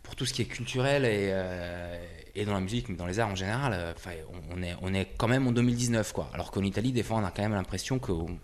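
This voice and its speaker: A man's voice: lowish voice, fast talker, hardly any pauses. A man in his forties from Italy, a musician talking casually in an interview, hesitant and full of fillers. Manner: hesitant, many fillers and restarts. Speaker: musician guest.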